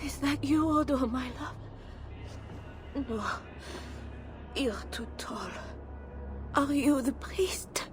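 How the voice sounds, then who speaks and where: An actress playing a frail, dying noblewoman who speaks weakly and haltingly in a dramatic video game. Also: sits high, over music. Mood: faint, confused.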